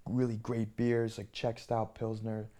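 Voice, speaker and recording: A man's voice: on the low side, monotone, moderate pace, mellow. Easygoing man chatting, thinking aloud. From Bulgaria, later grew up in the US. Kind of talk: casual chat.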